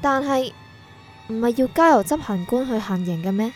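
A woman's voice: on the high side, animated, normal speed, darkish tone, soft background music, lightly acted. A woman playing a dutiful young soul prosecutor in a radio drama, clipped, rule-bound and defensive in manner. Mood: obedient, hesitant. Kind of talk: Cantonese radio drama acting.

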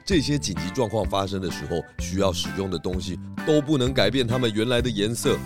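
A man playing a calm, kindly cartoon pig detective who explains things patiently and with gentle amusement in a children's radio drama. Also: fairly low pitch, fairly quick, music in the background, natural voice.

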